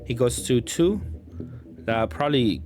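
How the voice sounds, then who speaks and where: A man's voice: mid-range voice, animated, unhurried, darkish tone, slight background noise. A man giving casual, improvised commentary on his own card game, thinking aloud hesitantly and often trailing off. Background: background music.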